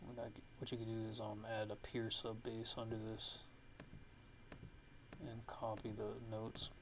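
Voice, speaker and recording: A man giving a casual ASMR music production tutorial, thinking aloud in a halting way as he works. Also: fairly low pitch, completely flat delivery, noisy background.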